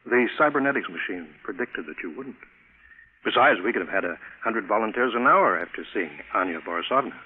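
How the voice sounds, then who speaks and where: A man's voice: lowish voice, animated, a little fast, close to an everyday voice. A man playing a matter-of-fact space programme chief in a 1950s radio drama, businesslike and familiar. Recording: noisy, tinny vintage radio broadcast.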